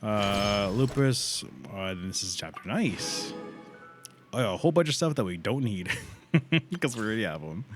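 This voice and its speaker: A man doing casual livestream commentary on a game, informal and sweary, thinking out loud as he plays. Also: fairly low pitch, expressive, normal speed, music in the background. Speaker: live game streamer.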